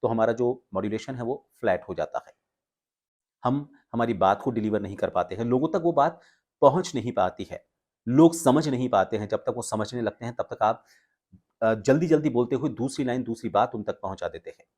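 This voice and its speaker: A man presenting a lesson as an instructor, explaining deliberately and pausing for emphasis. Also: mid-range voice, a little mellow. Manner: measured, explanatory, deliberate phrasing with pauses for emphasis. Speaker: voice training instructor. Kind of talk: instructional talk on voice modulation, presenting to viewers.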